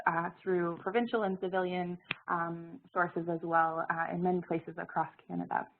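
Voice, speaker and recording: A woman presenting an information session while she has a cold, her voice scratchy, pausing often with fillers. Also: fairly low pitch. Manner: hesitant, many fillers and restarts. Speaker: presenter, program director. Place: Halifax, Canada. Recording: phone-like narrow audio.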